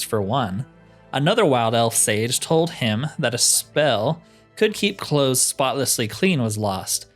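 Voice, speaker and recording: A man's voice: medium pitch, close to an everyday voice. A man reading the part of a courteous, scholarly cat-man spell trainer, speaking formally in game dialogue. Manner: formal, speaks of himself in third person.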